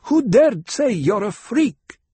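A fierce, protective desert nursemaid who speaks loud and scolding in a raised voice, as a man narrating the storybook does her. Her tone is husky, and the reading is close and dry. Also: very high-pitched, sing-song, takes it slowly, no room sound, voice pushed up, full character voice. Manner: forceful and scolding.

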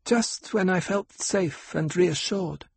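A small, solemn girl with a soft half-lisp, talking slowly and earnestly in a raised, light voice, as a man reading the story puts her on. The tone is husky and breathy, and the storybook reading sounds close and dry. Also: sits high, no room sound, pitched above the performer's own voice, heavily acted. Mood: hushed, wondering. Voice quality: half-lisping. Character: young girl with an old soul, solemn.

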